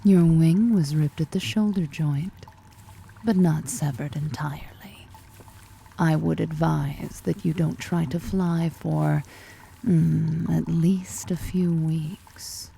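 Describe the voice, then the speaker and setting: A woman's voice: steady tone, slow pace, warm tone, exaggerated voice. A woman playing a powerful, predatory drider ruler in an ASMR roleplay, half-whispering with teasing, flirtatious menace. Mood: grave, silky. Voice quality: breathy, half-whispered, creaky low voice.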